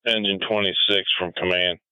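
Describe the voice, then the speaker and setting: A man's voice: sits low, steady tone, moderate pace, pushed and bright, smooth, no room sound. A man acting as fire incident commander, giving terse orders and acknowledgements over a two-way radio. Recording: dull band-limited fireground radio channel.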